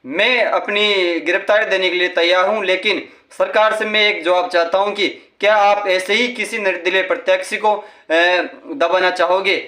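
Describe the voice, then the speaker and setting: A man presenting improvised news commentary, halting often as he searches for words. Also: sits high, fairly even intonation, bright, forceful tone, reverberant. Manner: halting, with frequent hesitations.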